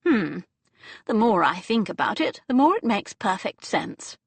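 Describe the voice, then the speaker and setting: A woman narrator voicing an elderly aristocratic dowager duchess who speaks in a lofty, brisk, upper-class manner. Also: medium pitch, big pitch swings, creaky, husky, dry recording, pitched above the performer's own voice, full character voice. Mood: decisive.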